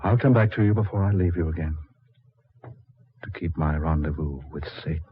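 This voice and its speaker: An American actor playing a solemn, subdued, doomed husband in a 1940s radio horror play. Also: male voice, a bass voice, moderate pace, very noisy. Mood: grave resolve. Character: grave, doomed husband returned from death, bound by bargain. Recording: muffled old 1940s radio recording.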